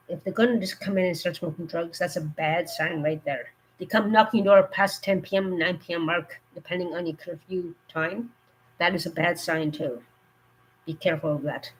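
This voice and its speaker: A woman with a cold, her voice going hoarse, giving earnest safety warnings in a rambling, casual live stream. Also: on the low side, a little hiss or hum, low-quality recording.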